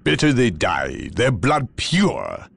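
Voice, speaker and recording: Haughty, dramatic inquisitor. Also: male voice, mid-range voice, very animated, creaky, a little hiss or hum, heavily acted. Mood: haughty, reproachful. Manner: portentous, measured, theatrical. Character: grave, haughty inquisitor, a zealous hunter of evil. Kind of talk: dramatic video game cutscene acting.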